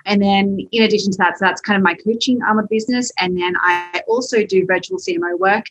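A woman's voice: mid-range voice, fast talker, a bit bright, a little hiss or hum, low-quality recording. A young Australian woman with an Australian accent, telling her story as a podcast guest in a chatty way, laughing often. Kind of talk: podcast interview.

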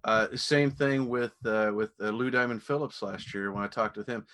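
A man hosting a podcast interview in casual chat, enthusiastic, with halting phrasing that circles back on itself. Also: fairly low pitch, fairly bright tone. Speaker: podcast host.

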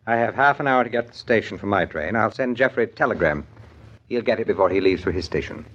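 A man's voice: lowish voice, lively intonation, fast talker, a bit bright. An actor playing a dry, courteous middle-aged businessman and father in a 1940s radio soap opera, speaking formally and articulately with wry regret. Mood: courteous, rueful. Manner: formal, articulate, wryly rueful. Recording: dull 1940s radio broadcast recording.

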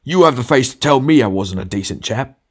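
A male narrator puts on a big, blustering working-class ghost for a storybook. He is sulky, self-righteous and forever arguing, pushed up higher and louder than plain narration, with indignant demands punctuating his speech. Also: a little fast, natural voice. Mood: indignant, angry. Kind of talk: literary audiobook character dialogue.

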